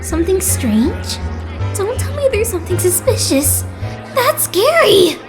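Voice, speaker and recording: High-pitched young male idol fanboy, played by a woman, swooping intonation, eager, gushing, easily alarmed, anime fan dub, music behind. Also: big pitch swings, a little slow, exaggerated voice. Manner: gushing, dramatic.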